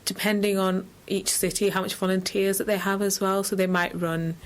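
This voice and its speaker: A woman's voice: mid-range voice, little pitch movement, moderate pace. A woman giving informal, explanatory answers as a charity guest in an interview.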